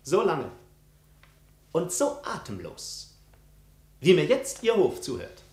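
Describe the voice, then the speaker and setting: A man's voice: fairly high pitch, huge pitch swings, darkish tone, heavily acted. An actor playing a bold, witty foreign prince in 1950s radio drama style, courtly and teasing as he spins his tales with irony. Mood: ironic, courtly. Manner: courtly, teasing, ironic, unhurried storytelling. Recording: roomy 1950s radio studio recording.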